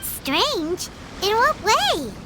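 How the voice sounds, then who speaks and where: A woman voicing a tiny, childlike fairy companion in a video game, chirpy, blunt and squeaky. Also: big pitch swings, extremely slow, heavy background noise, full character voice. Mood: puzzled, pestering. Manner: squeaky, third-person childlike chatter. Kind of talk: scripted video game voice acting.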